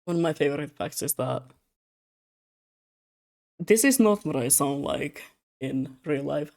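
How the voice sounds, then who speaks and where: A young adult streamer chats loosely on a streaming mic while drawing commissions. The voice sits at a middle pitch and sounds tired, with words slurring a little. The talk rambles and turns self-mocking, broken by frequent laughs. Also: lively intonation, unhurried, vocal fry, darkish tone. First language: Russian. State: tired, slightly slurred speech. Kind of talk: casual live-stream chat.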